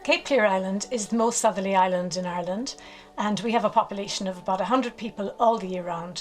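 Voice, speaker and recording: A woman at a middle pitch talks briskly and matter-of-factly about her island. Faint music sits behind her voice. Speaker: island resident. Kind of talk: video statement.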